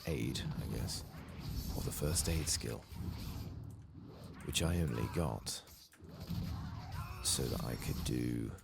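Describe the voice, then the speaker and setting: A soft-spoken man murmuring ASMR commentary as he plays a video game. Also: very deep voice, lively intonation, slow pace, gravelly, long pauses, loud music behind the voice. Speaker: ASMR gaming streamer.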